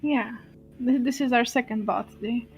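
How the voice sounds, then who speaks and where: A woman's voice: a little high, moderate pace, a little mellow, soft background music, low-quality recording. A woman co-hosting a casual live stream, giggling and making wry remarks. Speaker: streamer co-host.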